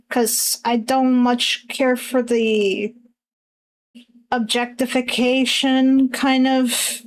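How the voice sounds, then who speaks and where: A young woman with a husky voice that sits a little high for her, chatting on a livestream. She talks slowly and unhurriedly, hesitating and circling back with lots of fillers as she thinks aloud. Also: low-quality recording. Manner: hesitant, halting, many fillers and restarts. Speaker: streamer. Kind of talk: casual chat while drawing on stream.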